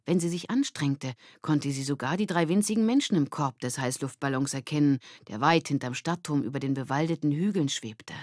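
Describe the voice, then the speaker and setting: A woman's voice: low voice, a little fast, few pauses, darkish tone, dry recording, clean studio sound. A woman narrating a scripted children's story as an audiobook reader.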